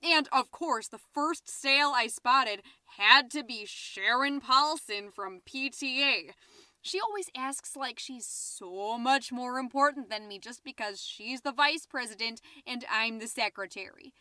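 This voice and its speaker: A woman's voice: on the high side, expressive, a little slow, bright, forceful tone, dry recording, clean studio sound. A woman playing a gossipy, petty, easily flustered middle-aged American suburban mom, chattily hosting her podcast in a scripted comedic monologue. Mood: petty, indignant. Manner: chatty, indignant, with muttered asides. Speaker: podcast host.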